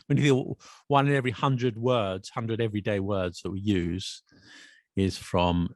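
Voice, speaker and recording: A man hosting a casual language podcast, chatty and quick with asides and restarts. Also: on the low side, steady tone, no room sound, studio quality. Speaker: podcast host and English teacher. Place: Paris.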